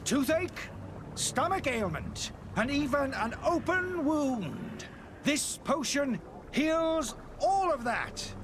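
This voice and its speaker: An actor playing a smooth-talking street charlatan in a video game, grandiose and persuasive in a theatrical sales pitch. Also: male voice, sing-song, slow pace, background noise, exaggerated voice. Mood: grandiose, rousing. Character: smooth-talking male street charlatan hawking a miracle potion.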